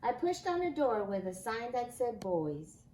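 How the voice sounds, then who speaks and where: A woman reading a children's picture book aloud expressively, with deliberate, dramatic phrasing. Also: on the high side, sing-song, a little mellow. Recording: echoey room, faint background noise.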